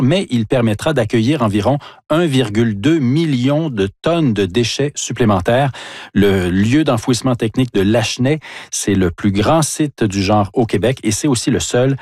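A man reading a regional news bulletin in a steady, formal way. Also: mid-range voice, no echo, clean studio sound.

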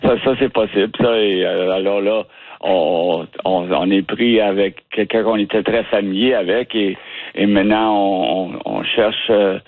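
Man, Canadian French accent, interview guest, halting speech, low voice, dry tinny phone sound. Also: steady tone, no echo. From Ottawa region, Canada. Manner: halting, often restarts words while searching for them.

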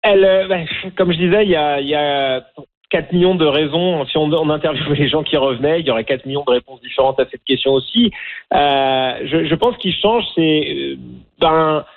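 A middle-aged man with a French accent from France speaks in a fairly high voice over a narrow, tinny line that sounds like a phone call into a radio studio. He talks as a guest, hesitant, full of "euh" and restarts, yet steady. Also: normal speed. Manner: hesitant, many fillers and restarts. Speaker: documentary filmmaker, guest. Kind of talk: radio interview.

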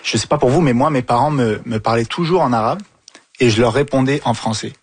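A man hosting a casual round-table discussion, informally prompting his guests with questions, with a husky voice. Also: mid-range voice, a little fast, dry recording. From Africa. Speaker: host of a radio round-table. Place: dry studio round table.

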